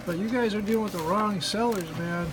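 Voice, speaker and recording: A man's voice: high voice, brisk. A man giving a casual, joking product demonstration, talking in folksy slang and repeating himself with mock earnestness. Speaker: hobbyist video presenter. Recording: noisy recording with steady background hum.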